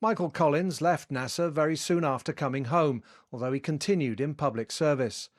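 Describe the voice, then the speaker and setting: Male correspondent, voice a bit on the high side, reading a scripted news piece. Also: moderate pace. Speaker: science correspondent. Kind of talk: scripted news report narration.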